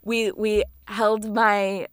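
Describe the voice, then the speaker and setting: A woman's voice: medium pitch, level tone, extremely slow, clear, resonant tone, no echo, clean studio sound. A young woman chatting casually, with slangy, reactive remarks. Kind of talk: casual chat.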